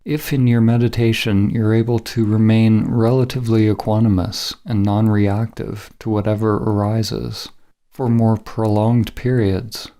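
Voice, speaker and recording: Slow, mellow, creaky male meditation guide. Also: fairly low pitch, level tone. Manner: measured, solemn, instructional. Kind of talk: scripted guided meditation narration.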